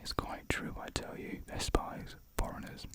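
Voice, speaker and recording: A whispering reader voicing a flustered, polite English gentleman on the run. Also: a little slow, natural voice. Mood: desperate, pleading. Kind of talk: ASMR whispered script reading.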